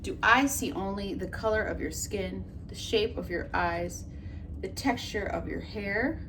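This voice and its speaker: A woman teacher reads a picture book aloud to children in a gentle, expressive storytelling way, asking questions of her listeners, then talks it over with them. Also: medium pitch, a little slow. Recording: noticeable background noise.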